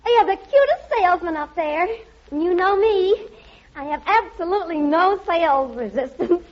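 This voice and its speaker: Squeaky, man-crazy radio dame. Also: expressive, moderate pace. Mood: breezy, coy. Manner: flirty, gushing, slangy. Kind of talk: 1940s radio comedy acting. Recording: dull vintage radio recording, faint hiss.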